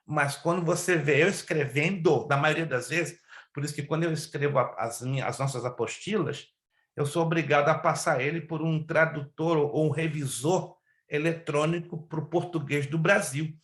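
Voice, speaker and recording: Brief: male pastor-teacher, voice on the higher side, stretches words for emphasis, echoey room. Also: steady tone, normal speed, fairly bright tone. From Brazil. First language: Hebrew and Spanish. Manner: emphatic, questions the class, stretches key words. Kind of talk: online Bible class lecture.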